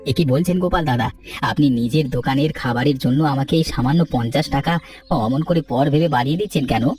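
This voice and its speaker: A man's voice: mid-range voice, fairly quick, a little mellow, close to an everyday voice. A man voicing a kind, generous young food-stall owner in an earnest cartoon manner. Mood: insistent, generous. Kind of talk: dubbed cartoon dialogue. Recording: distant-sounding, music underneath.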